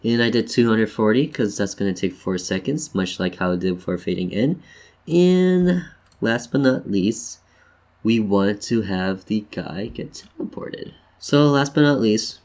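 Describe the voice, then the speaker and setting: A man's voice: fairly low pitch, darkish tone. A man hosting a tutorial in a casual, playful way, talking viewers through each step as if chatting with them. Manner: playful, jokey, addresses viewers as "you guys". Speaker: tutorial host. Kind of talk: casual instructional screen-share narration.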